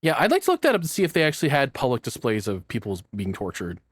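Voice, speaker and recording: A man talks like a casual reaction host, with pitch that swings around a lot. He is flippant and rambling, happy to swear, and recorded close and dry with no room sound. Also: huge pitch swings, fast, slightly rough, no room sound. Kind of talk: casual joking reaction commentary.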